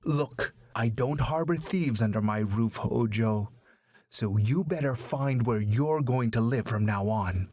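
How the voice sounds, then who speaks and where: A man's voice: mid-range voice, measured pace, a little mellow, natural voice. A man playing a harsh, shamed father who scolds his family with furious scorn.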